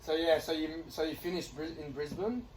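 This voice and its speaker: A man hosting a relaxed sports chat, his fairly high voice landing in short prompting questions. He sits back from the mic in an echoey room, and steady background noise runs under him. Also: fairly quick, recorded from a distance. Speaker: podcast host interviewing players. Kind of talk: casual interview chat.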